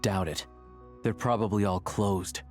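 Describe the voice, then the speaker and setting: A gruff local townsman voiced by a man in a video game, with a low voice and a blunt, casual way of explaining. He sounds dryly resigned, with faint music behind. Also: steady tone, a little slow, a little mellow, natural voice.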